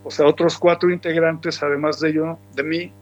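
Guy musician, kinda high voice, rambling on, dull lo-fi sound. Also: little pitch movement, normal speed. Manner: reflective, rambling with frequent restarts. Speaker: musician guest. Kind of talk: relaxed radio interview. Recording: dull lo-fi recording with faint music.

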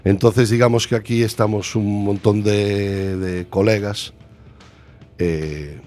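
A man's voice: fairly low pitch, fairly even intonation, slow, darkish tone, faint music behind. A man from Galicia with a Galician Spanish accent, a musician chatting in an interview, hesitant and self-deprecating as he searches for words. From Galicia, Spain. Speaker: musician guest. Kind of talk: casual interview chat.

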